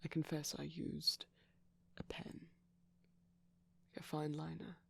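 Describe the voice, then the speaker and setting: A man speaking in a soft, breathy ASMR ramble, often slipping into a whisper, gentle, shy and hesitant, close to the microphone. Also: fairly high pitch, completely flat delivery, slow pace. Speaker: ASMR performer.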